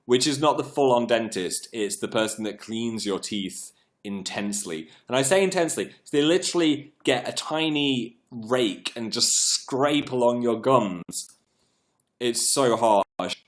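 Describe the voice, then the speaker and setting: A British man hosting a casual livestream, chatting in a rambling, self-mocking way with a slightly croaky, froggy voice as it recovers from being lost. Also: medium pitch, animated, a little slow. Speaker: live stream host.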